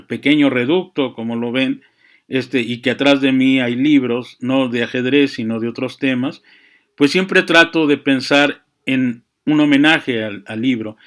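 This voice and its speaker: A man giving a reflective, unscripted monologue, often halting to search for words and adding verbal tags. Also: medium pitch, fairly bright tone. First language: Spanish. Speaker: speaker on chess and literature. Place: small room with books.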